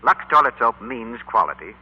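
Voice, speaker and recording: Male announcer, 1940s commercial delivery, medium pitch, raspy edge, polished, persuasive. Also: big pitch swings, moderate pace. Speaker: radio announcer for a soap advertisement. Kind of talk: 1940s scripted radio commercial. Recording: dull lo-fi 1940s radio recording.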